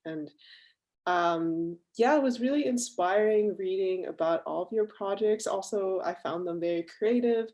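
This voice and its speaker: A woman from Hong Kong speaks on a video call as an illustrator. Her voice sits a little low, and she talks in a modest, halting way with plenty of fillers. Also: a little mellow. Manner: hesitant, modest, many fillers. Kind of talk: casual remarks on a video call.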